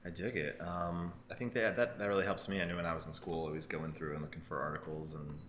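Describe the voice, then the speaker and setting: Man in his thirties, low pitch, casual tech chat, conversational, roomy sound, faint background noise. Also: fairly even intonation, fast, a bit bright. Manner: self-interrupting, conversational, seeks agreement with "right?". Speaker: co-host of a tech news show. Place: open-plan office, indoors on a couch.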